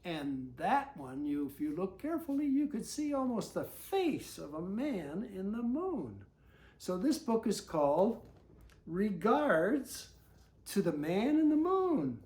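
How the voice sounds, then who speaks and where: A woman's voice: mid-range voice, sing-song, takes it slowly, darkish tone. A woman reading a children's picture book aloud, breaking off to chat and put questions to her young listeners. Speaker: storybook reader.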